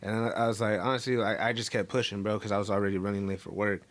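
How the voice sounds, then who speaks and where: A man's voice: lowish voice, level tone, fast. A man co-hosting a podcast, talking earnestly in casual slang and tacking "bro" onto his sentences. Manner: heartfelt, repeats "bro" and "you know what I mean". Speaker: podcast co-host. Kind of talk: casual chat.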